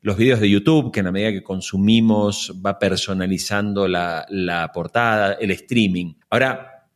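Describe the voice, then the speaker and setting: A man, interviewing as a podcast host in a measured, conversational way. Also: on the low side.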